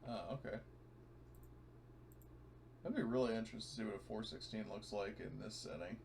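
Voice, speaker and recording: A man's voice: fairly low pitch, normal speed, creaky, darkish tone. A man chatting casually as a player in a tabletop roleplaying game. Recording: faint background noise.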